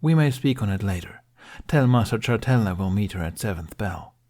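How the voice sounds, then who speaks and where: A man reads as a stern, calm male mentor in an audiobook. His voice is on the low side, and he speaks in a measured, restrained way. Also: natural voice. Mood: brisk, composed. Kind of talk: audiobook character voice.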